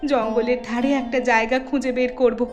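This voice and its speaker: A woman's voice: on the high side, little pitch movement, normal speed, over music, natural voice. A woman voicing a determined devoted elder sister. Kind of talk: cartoon voice acting.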